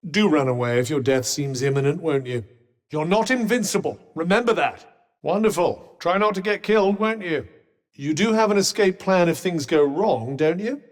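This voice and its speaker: A man's voice: on the high side, lively intonation, normal speed, natural voice. A man as a wry, haughty British magical vambrace, reverberant. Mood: dry, sardonic. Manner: dry, sardonic, formal, condescending understatement. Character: sentient magical vambrace, wry, haughty, fussily cautious male companion. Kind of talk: video game character dialogue. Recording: long reverberant echo on the voice.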